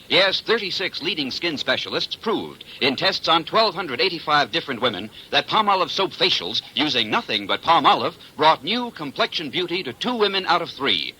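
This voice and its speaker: Very bright, forceful American male pitchman. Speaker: commercial announcer. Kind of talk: vintage radio advertisement, scripted sales pitch. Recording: slightly noisy vintage radio recording.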